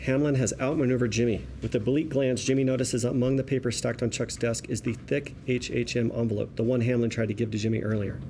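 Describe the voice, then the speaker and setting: A man's voice: medium pitch, fairly even intonation, quick pace, hardly any pauses, warm tone, a little hiss or hum. A man reading stage directions as scripted narration at a table read.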